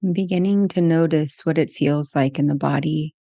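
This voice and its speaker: Female meditation guide, soft-edged mellow tone, gentle spaced phrasing, close dry sound. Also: deep voice, no echo. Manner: slow, gentle pauses between short phrases.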